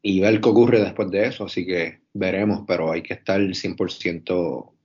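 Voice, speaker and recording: A man's voice: sits low, steady tone, normal speed, a little mellow. A young man from Puerto Rico with a Puerto Rican accent, a basketball coach answering interview questions earnestly, often repeating words. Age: young adult. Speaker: basketball coach and video coordinator. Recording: dull, muffled audio.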